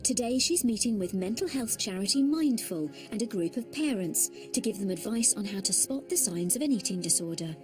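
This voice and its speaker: A woman reading scripted documentary narration with a steady, even delivery. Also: medium pitch, fairly quick, hardly any pauses, over music.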